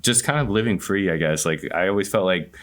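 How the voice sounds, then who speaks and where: A man in his late twenties from the Southwestern United States with a very deep voice. He talks as an easygoing podcast guest and fills his sentences with "like" and "yeah.". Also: a little fast, a bit bright. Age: 20s. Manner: easygoing, says "like" and "yeah" often. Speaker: guest, co-founder. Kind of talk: casual interview chat.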